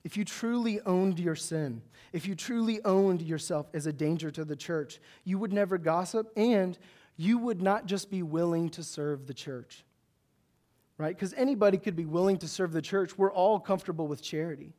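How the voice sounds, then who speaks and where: A man with a notably high voice delivers a sermon to his congregation. He is earnest and emphatic, stacking repeated phrases to drive each point home. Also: moderate pace, warm tone. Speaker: pastor.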